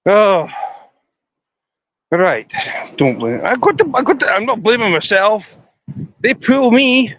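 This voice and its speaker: A man's voice: high-pitched, very animated, slow pace, pauses often, fairly bright tone, a little hiss or hum. A Scottish man chatting to viewers on a casual live stream outdoors by a river, rambling as he talks into his phone. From Scotland. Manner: rambling, chatty, talking to viewers while walking.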